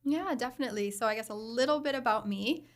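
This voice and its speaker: Middle-aged woman, friendly, easy laugh, measured interview answers. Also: fairly high pitch. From Bahamas. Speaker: guest, program director.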